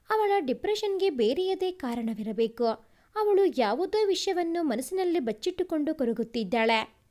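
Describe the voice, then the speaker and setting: A woman reads a sentimental family story aloud as a storyteller, in a measured, formal way. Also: high voice, a little mellow. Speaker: storyteller, narrator.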